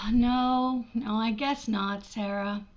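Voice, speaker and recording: Woman acting a high-voiced, shy, lonely spinster sister. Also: fairly even intonation, drawn-out words, highs cut off. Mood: pleading. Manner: hesitant, earnest, self-deprecating. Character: shy, lonely, wistful unmarried older sister in Ohio. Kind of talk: old-time radio drama acting.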